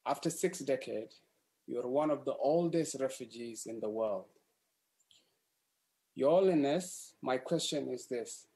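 A man's voice: medium pitch, vocal fry, mellow. A young man from South Sudan, an activist, earnestly asks a prepared question over a webcam video call from a room at home. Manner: earnest, deliberate, a little formal.

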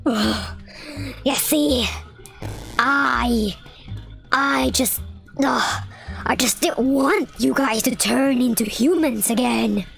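A performer voicing a whiny, sulky injured thief in a video game roleplay, groaning and halting. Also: medium pitch, gravelly, fairly bright tone, faint music behind, heavily acted.

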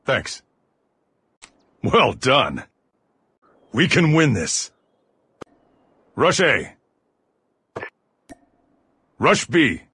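An actor voicing a gruff, aggressive soldier giving terse, commanding squad callouts in a military video game, with a rough, husky voice. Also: male voice, medium pitch, very animated, extremely slow, pauses often, sharp tone, heavily acted. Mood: calm commanding. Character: gruff armored male soldier, aggressive squad mate in combat. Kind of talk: video game combat voice lines.

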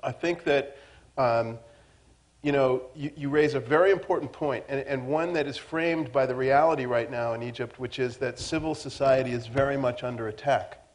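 A middle-aged man answers from the stage through a lapel mic. He speaks at a mid pitch in a conversational, assured way. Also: normal speed. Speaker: panelist. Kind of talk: panel discussion.

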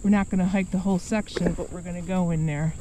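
A middle-aged woman chatting casually on a hike by a lake and along wooded trails, halting and restarting as she explains. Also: lowish voice, fairly even intonation, noisy background. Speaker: vlogger on a hike. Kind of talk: casual outdoor chat. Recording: outdoor camera audio, insects and breeze behind.

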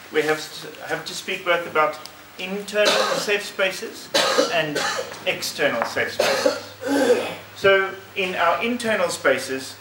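A middle-aged man giving a conference presentation in an emphatic, measured, oratorical way with repeated phrasing. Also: fairly high pitch. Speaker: clergyman presenting as committee member. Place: conference room, indoors. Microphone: handheld microphone. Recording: roomy, slightly distant, faint noise.

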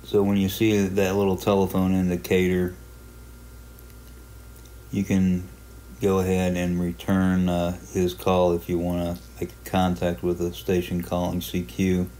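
A man giving a casual, unhurried tutorial narration, explaining a hobby software program step by step in plain terms. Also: low-pitched, monotone, a little hiss or hum. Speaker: amateur radio hobbyist presenting a software walkthrough.

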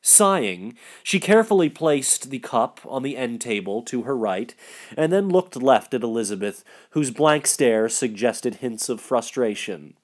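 A man's voice: medium pitch, animated, a little slow. A man giving a scripted narration of literary fiction as the reader.

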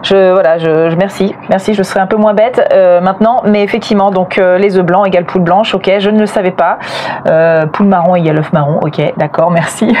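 A middle-aged woman chats to viewers at a quick clip. Her wording is loose and colloquial, as in a home vlog. Her clip-on mic picks up some background noise. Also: on the low side, steady tone, darkish tone. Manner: colloquial, chatty. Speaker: family travel vlogger, co-host. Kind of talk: casual chat to camera. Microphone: clip-on lapel mic.

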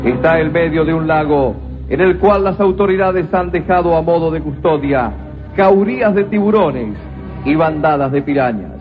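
A man with a slightly high voice narrates an old radio comedy in a grave, deadpan tone. Music runs clearly under his muffled voice. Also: moderate pace.

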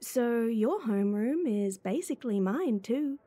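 A woman's voice: a little high, lively intonation, unhurried, warm tone, slightly rough. A woman voice actor playing a playful, teasing, clingy schoolgirl childhood friend in a chatty ASMR roleplay.

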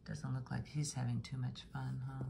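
A woman's voice: extremely low pitch, completely flat delivery, moderate pace, gravelly, noisy background. A woman storyteller reading a picture book to young children in rhythmic rhyme, with chatty questioning asides. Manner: rhythmic rhyming delivery, engaging listeners with questions.

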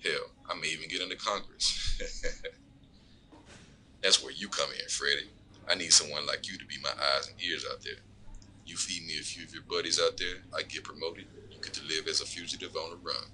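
A very low, hard-edged, forceful voice of a man acting as a corrupt lawman in an audio drama. He is smug and taunting, leaning on his informant with cool, profane threats. Faint noise sits behind him. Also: fairly even intonation, normal speed, heavily acted, poor audio. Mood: smug menace. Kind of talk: scripted audio drama dialogue.

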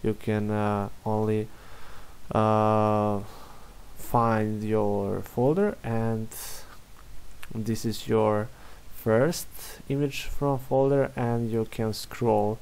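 A young man from Serbia with a Serbian accent, a software developer walking through a screen-recorded demo in halting English full of fillers. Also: lowish voice, steady tone, creaky, clear, resonant tone. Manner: halting, many fillers and restarts.

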